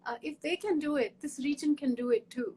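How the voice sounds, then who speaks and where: This is a woman from Kashmir, talking earnestly in an online conversation. Her voice sits high, and she often doubles back, repeating words as she searches for them. A faint noise runs behind the call. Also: brisk, warm tone. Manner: earnest, frequent restarts and repetitions. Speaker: guest academic in conversation. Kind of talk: conversational interview talk.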